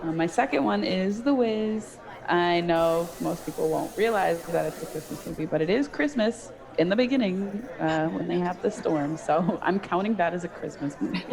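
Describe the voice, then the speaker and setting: A woman's voice: fairly low pitch, normal speed, creaky, few pauses, a crowd in the background. A woman chatting casually as a panelist on a group podcast. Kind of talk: casual chat. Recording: background voices.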